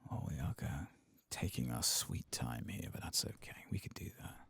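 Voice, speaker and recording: A soft-spoken man doing ASMR commentary on a video game, sometimes dropping into a whisper, with a husky, breathy voice. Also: very deep voice, animated, normal speed, dry recording. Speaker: game streamer narrating a playthrough.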